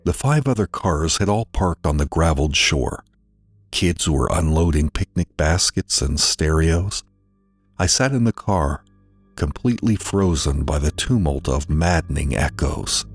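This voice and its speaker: A man narrating a scripted horror story in a deliberate, dramatic delivery with weighted pauses. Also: very deep voice, expressive, husky, dry recording.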